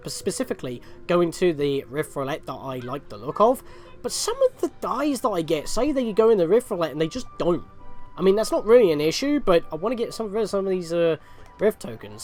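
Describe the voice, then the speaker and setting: A video host giving reactive, rambling gaming commentary and thinking out loud. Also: mid-range voice. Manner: rambling, self-questioning.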